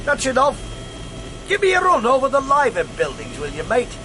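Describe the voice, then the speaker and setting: An English actor playing a world-weary, gruff middle-aged detective inspector in a radio drama, dry and clipped. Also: male voice, very high voice, huge pitch swings, moderate pace, noisy background, exaggerated voice. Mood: brisk, musing. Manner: dry, clipped, colloquial copper's talk. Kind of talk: BBC radio drama acting.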